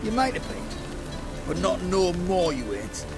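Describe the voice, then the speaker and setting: A man's voice: high-pitched, big pitch swings, measured pace, gravelly, over music, exaggerated voice. An actor playing a surly, impatient henchman in a fantasy game, coarse and belligerent. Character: surly, thuggish male henchman, impatient and crude. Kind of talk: fantasy video game dialogue.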